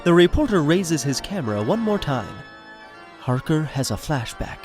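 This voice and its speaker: A man's voice: fairly high pitch, very animated, normal speed, a little mellow. A man reading scripted stage directions as the narrator of an audio drama. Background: music.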